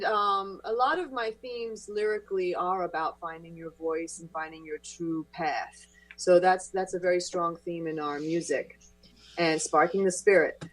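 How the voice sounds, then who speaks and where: Low-voiced woman musician from France. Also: fairly bright tone, no room sound, rough recording. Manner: casual, hesitant, many fillers. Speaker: musician and guest. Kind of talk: talk radio interview.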